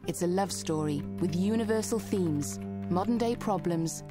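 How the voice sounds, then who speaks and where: A woman giving measured, scripted documentary narration. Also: lowish voice. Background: music.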